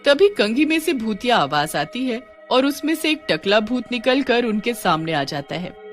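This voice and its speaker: Woman narrator for a cartoon story, scripted read, soft music behind. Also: fairly high pitch, very animated, moderate pace.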